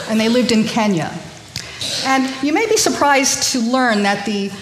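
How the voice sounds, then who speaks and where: A woman born in Korea, speaking informally to a congregation, chatty and hesitant with many ums and restarts. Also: fairly high pitch. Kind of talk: informal sermon talk to a congregation. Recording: echoey hall with faint noise.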